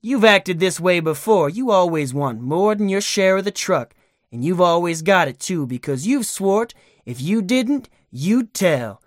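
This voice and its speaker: A man voicing a rough, contemptuous thief with a pistol who snarls accusations in a storybook reading. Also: high voice, expressive, unhurried, no echo, studio quality, voice pushed up. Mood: menacing contempt.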